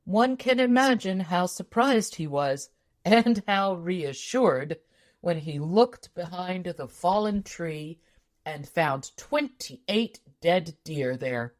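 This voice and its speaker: A woman reading a tall tale aloud as scripted storybook narration. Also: fairly low pitch. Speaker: audiobook narrator.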